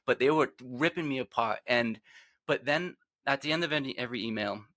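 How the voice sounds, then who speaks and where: A man's voice: mid-range voice, fairly quick, no room sound, studio quality. A man in his forties with a Rhode Island accent, earnestly telling his personal story as a podcast guest. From Rhode Island, USA. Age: 40s. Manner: earnest, reflective, trails off quietly.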